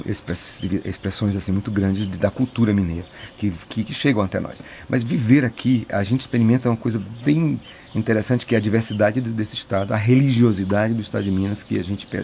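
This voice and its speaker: A middle-aged priest from Piauí, answering interview questions thoughtfully with frequent restarts. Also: male voice, lowish voice, warm tone, slight background noise. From Piauí, Brazil. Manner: thoughtful, many restarts and filler "né". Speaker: retreat preacher, priest.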